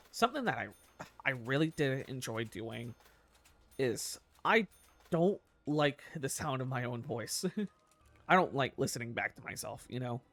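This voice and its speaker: A man's voice: medium pitch, gravelly, fairly bright tone. A man with a nasal voice, rambling in casual commentary over gameplay as a gaming YouTuber, swearing often and laughing at himself.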